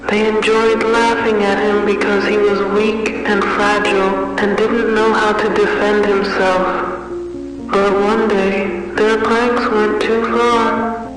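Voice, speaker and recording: A man playing a solemn, measured storyteller recounting a grim fairy tale in analog horror style. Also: high voice, flat delivery. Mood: grim, ominous. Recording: cavernous long reverb, distant, music behind.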